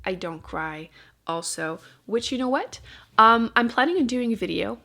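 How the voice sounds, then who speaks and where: A woman's voice: on the high side, expressive, normal speed, vocal fry, bright, forceful tone. A woman book vlogger talking casually and informally to camera, often rambling and interrupting herself with dry understatement. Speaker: book vlogger and channel host. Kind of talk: casual chat to camera about books.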